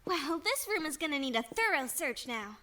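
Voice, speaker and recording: A woman voice-acting an earnest, anxious young female investigator in an animated mystery, with an expressive delivery. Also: high voice, moderate pace, heavily acted. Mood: reluctant resolve.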